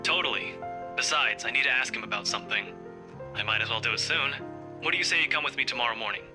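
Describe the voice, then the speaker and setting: Smug young guy, played by a woman, pitched low, teasing game dialogue over music. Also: fast. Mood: breezy. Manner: teasing, smirking. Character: teasing, smug young man, the heroine's friend. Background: background music.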